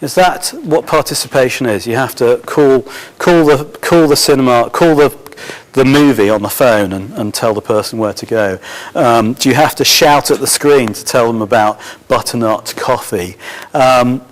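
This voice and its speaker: A man giving a conference talk as a film school course leader, halting and full of fillers and restarts, his voice a little hoarse. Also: medium pitch. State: slightly hoarse.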